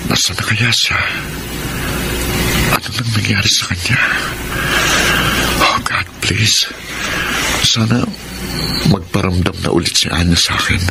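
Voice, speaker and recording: Low-voiced lovesick young man. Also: vocal fry, rough recording. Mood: anxious longing. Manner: hesitant, halting, polite. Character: lovesick, regretful young male model, meek and polite. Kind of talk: Tagalog radio drama acting. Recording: dramatic music behind the voice.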